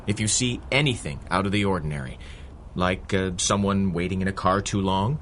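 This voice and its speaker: An actor playing a patient, capable undercover constable who explains things indulgently in a 1930s-style radio adventure. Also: male voice, low-pitched, fairly quick, fairly bright tone, a little hiss or hum. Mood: patient, amused.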